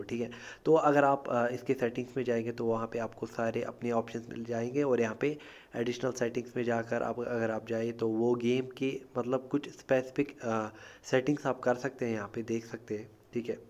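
A man's voice: mid-range voice, darkish tone, highs cut off. A man with an Indian accent giving a casual tutorial voice-over as a video host, informal and often checking in with a short tag phrase. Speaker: YouTube tech tutorial host. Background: music.

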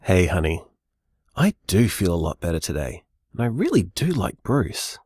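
A man's voice: deep voice, very animated, normal speed, a little mellow, husky, dry recording, studio quality, full character voice. An actor playing a conscientious, anxious, loving man leaving hesitant, apologetic voicemails in an intimate audio drama. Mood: anxious, sorry. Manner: hesitant, earnest, sighing.

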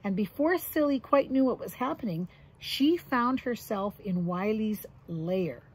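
A middle-aged woman with a husky voice reading a children's picture book aloud expressively, adding little asides for young listeners. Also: mid-range voice, animated, measured pace, darkish tone, poor audio. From Canada. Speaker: grandmother reading to grandchildren. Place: outdoors on a deck or yard among trees.

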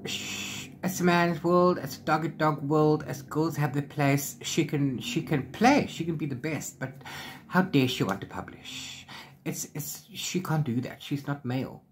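A middle-aged man chatting casually as he recaps a book, rambling along in an animated retelling. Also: a little high, unhurried, slight background noise. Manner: rambling, animated retelling with filler sing-song syllables. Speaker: book reviewer vlogger. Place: small book-lined room at home.

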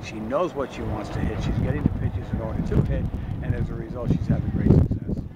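A middle-aged man, a head coach, answering postgame questions outdoors at a ballfield in a halting way, repeating words and using many fillers. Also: medium pitch, fairly even intonation. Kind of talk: postgame interview. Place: outdoors at a softball field. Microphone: close handheld camera. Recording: dull sound with loud outdoor noise.

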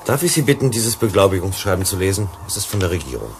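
Self-assured mediator for sci-fi radio play: low, quick, courteous, unruffled, faint noise behind. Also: male voice, big pitch swings, darkish tone. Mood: calm, assured. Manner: polite, unruffled, measured in argument. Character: composed, self-assured male government psychologist sent as mediator. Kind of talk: German radio drama acting.

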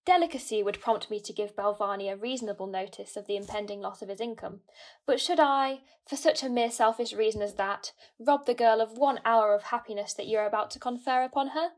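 A woman's voice: a little high, brisk, lightly acted. A woman playing a genteel, scheming Victorian aunt in her fifties, mannered and effusive, in a farcical radio play.

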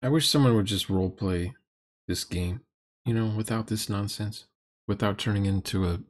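A middle-aged man with a fairly low voice reacts off the cuff. He is sarcastic and grumbling, mocking what he watches as he comments. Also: moderate pace. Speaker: commentator reacting to a recorded tabletop game.

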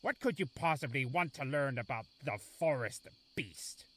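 A man's voice: mid-range voice, expressive, normal speed, lightly acted. A man voicing a grumpy, aloof and lonely forest beast in a cartoon, curt and brooding. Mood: brooding, suspicious. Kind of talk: animated fan drama acting. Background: background music.

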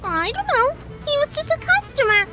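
Bubbly young cartoon pony mare, extremely high squeaky voice, excitable rambler, loud music behind. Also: female voice, moderate pace. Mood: cheerful.

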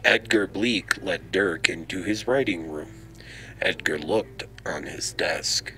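Slow, deep-voiced male storybook narrator. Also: sharp tone. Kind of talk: storybook narration in radio drama style. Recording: noisy ambient sound effects behind.

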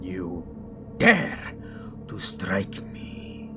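A man playing a cruel, contemptuous old king in a fantasy audio drama, imperious and swinging from hiss to shout. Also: deep voice, huge pitch swings, extremely slow, music in the background, exaggerated voice. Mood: scornful, furious.

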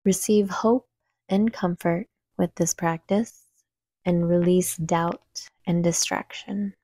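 Slow, mellow woman guiding meditation. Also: fairly low pitch, dry recording. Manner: calm, soothing, unhurried instruction. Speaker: meditation guide. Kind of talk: guided meditation.

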